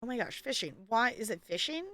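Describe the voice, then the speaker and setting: A woman with a fairly high, bright voice that swings around a lot in pitch. She chatters casually as a game streamer, playful and a bit theatrical, poking fun at herself over faint background noise. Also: animated, brisk. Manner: playful, theatrical exclamations, self-deprecating. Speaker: live streamer. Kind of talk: casual gaming livestream chatter.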